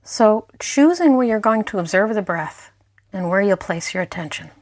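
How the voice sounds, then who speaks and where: A woman mindfulness instructor narrating a guided meditation in a gentle, unhurried way, leaving pauses between phrases. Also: medium pitch, animated, no echo, clean studio sound.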